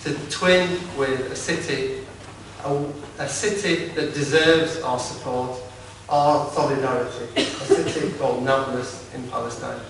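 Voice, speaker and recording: A man with a broad Lancashire accent giving an informal campaign speech at a political meeting, halting and repeating words, with wry asides. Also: mid-range voice, steady tone, vocal fry, fairly bright tone. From Lancashire, England. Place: large echoey meeting hall. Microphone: distant from the recording device. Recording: echoey hall recorded from far off with noise.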